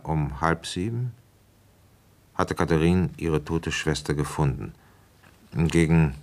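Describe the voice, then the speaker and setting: Male police inspector for radio drama: very low pitch, reflective, methodical, measured delivery. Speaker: radio drama actor, narrator and lead. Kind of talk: German radio play narration and dialogue.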